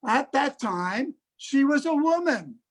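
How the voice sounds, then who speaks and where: A man's voice: extremely high pitch, big pitch swings, takes it slowly, full character voice. A man playing a stern nineteenth-century court official, speaking formally in reader's theater style.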